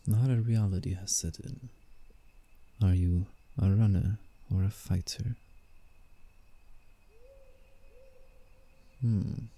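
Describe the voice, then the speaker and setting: Man playing a very dark, deep alpha werewolf. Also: fairly even intonation. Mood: teasing menace. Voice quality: dark, gravelly low voice. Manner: slow, deliberate, teasing menace. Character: male alpha werewolf, predatory, teasing, commanding forest protector. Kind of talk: roleplay ASMR.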